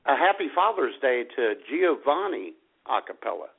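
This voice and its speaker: A man's voice: medium pitch, sing-song, normal speed, creaky, breathy edge. A man co-hosting an internet radio show with casual, joking, irreverent banter. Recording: tinny, phone-like internet radio sound.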